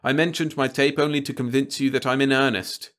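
A man's voice: medium pitch, steady tone, brisk, fairly bright tone, voice pushed up, lightly acted. A man voicing an earnest, determined male news operator who talks in an urgent, insistent way.

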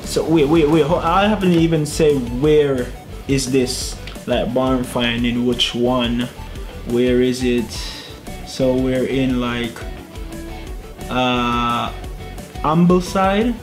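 A young man talks through his gameplay into a headset in a small room at home. He is chatty, mutters and laughs to himself, and game music plays clearly behind him. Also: medium pitch, takes it slowly. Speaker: gaming YouTuber. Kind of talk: casual gameplay commentary. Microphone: gaming headset.

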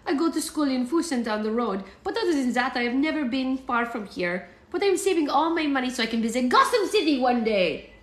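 A woman voicing an eager, curious ten-year-old boy in a story, with an attempted German accent and an animated manner. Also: sits high, a little fast, few pauses, pitched above the performer's own voice. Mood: earnest. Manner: animated, giddy, wide-eyed. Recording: echoey room.

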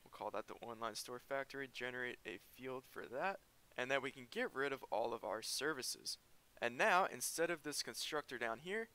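Male coding tutor, clear bright voice, walks through code as he types. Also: medium pitch. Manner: explains step by step while typing. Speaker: programming tutor. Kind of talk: screen-recorded coding tutorial.

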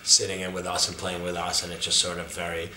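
A man with a deep, gravelly voice, answering interview questions in a casual, rambling way from a couch backstage. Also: completely flat delivery, fast talker, sharp tone, reverberant, slight background noise. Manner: rambling, thoughtful, many restarts. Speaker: band member. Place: backstage green room, on a couch.

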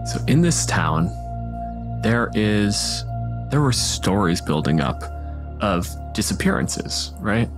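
A man's voice: fairly low pitch, big pitch swings, slow pace, over music. A man acting as game master, narrating and asking questions in an improvised, conversational tabletop style. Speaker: game master and podcast host.

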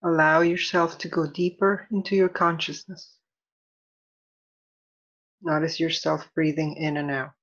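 A woman leading a guided meditation as an instructor, soothing and deliberate, in measured phrases with long pauses. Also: low-pitched, flat delivery, highs cut off.

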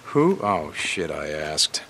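An American actor playing a gruff, wry outlaw biker in a video game, with halting, sardonic delivery. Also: male voice, rumbling low, big pitch swings, sharp tone, a little hiss or hum, full character voice. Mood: dry teasing. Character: gruff, wry outlaw biker, adult man, guarded but teasing. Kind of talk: naturalistic cinematic game acting.

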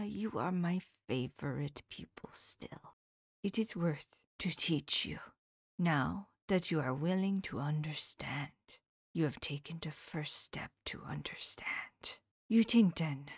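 A grave, tender old professor , voiced by a woman reading the book aloud, leans in and speaks in a soft, breathy near-whisper. His formal, old-fashioned phrasing comes slowly and deliberately, low and quiet. The sound is dry and close to the mic. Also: dry recording, heavily acted. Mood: gentle. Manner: hushed, often whispered, slow and solemn. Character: elderly male professor, grave, tender and sorrowful. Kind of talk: audiobook character voice.

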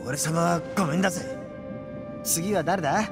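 An actor playing a boastful young gang boss who talks loudly with rough slang and a gravelly voice. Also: male voice, fairly high pitch, normal speed, in a large hall. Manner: loud, swaggering, coarse slang. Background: game music.

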